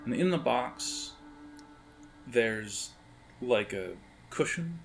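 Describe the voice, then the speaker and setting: A man's voice: medium pitch, animated, very slow, creaky, soft background music. A man narrating a tabletop game as game master in a casual, rambling, improvised way. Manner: rambling, improvising, hesitant phrasing.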